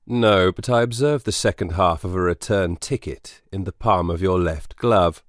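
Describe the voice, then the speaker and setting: An actor playing a courteous, self-assured Victorian gentleman detective in an audiobook drama, precise and soothing. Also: sits low, moderate pace, no room sound, studio quality, close to an everyday voice. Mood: confident, deductive. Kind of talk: full-cast audiobook drama acting.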